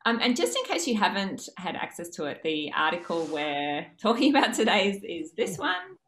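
Low-voiced Australian woman lecturer. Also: slight background noise. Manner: steady, measured with frequent "um". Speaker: university lecturer. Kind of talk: conference presentation over video call. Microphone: video call.

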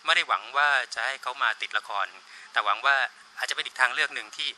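A man talks quickly in an informal interview, explaining as he goes. His voice is mid-pitched and very sharp, picked up by a handheld mic in a small office. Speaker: radio drama producer being interviewed. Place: small studio office, indoors. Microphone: handheld reporter microphone. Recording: noisy room, handheld interview microphone.

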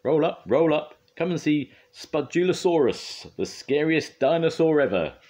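A man's voice: on the high side, lively intonation, very slow, gravelly, darkish tone, pitched above the performer's own voice, full character voice. A man voicing a cheeky, boastful scarecrow trickster in a children's storybook reading.

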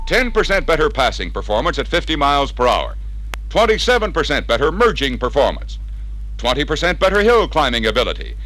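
An American man narrating a scripted 1960s car sales training film in an emphatic, persuasive, crisply enunciated way. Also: a little high, bright, forceful tone, no room sound, rough recording. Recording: vintage 1961 training record sound.

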